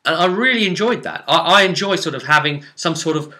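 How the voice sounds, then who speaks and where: A man's voice: a little high, expressive, brisk, bright, forceful tone. A man speaking as a game master, telling the story of a tabletop role-play session informally, with emphatic, dramatic delivery. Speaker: game master recapping a campaign.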